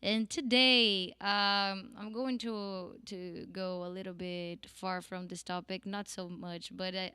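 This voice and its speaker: A woman's voice: mid-range voice, sharp tone, clear, resonant tone, dry recording. A Brazilian woman with a Brazilian accent teaching a singing lesson in English, chatty and laughing often. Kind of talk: casual online lesson.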